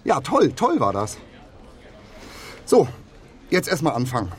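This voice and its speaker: A man's voice: medium pitch, wildly swooping pitch, a little slow. An actor playing an awkward, eager young male trainee, hesitant, chatty and over-polite, in a naturalistic radio crime drama.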